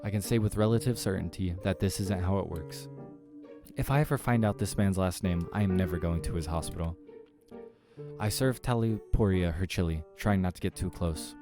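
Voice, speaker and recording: A man's voice: low-pitched, moderate pace, over music. A man reading visual novel narration aloud as a story, playing through a game. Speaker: video game let's player reading all parts aloud.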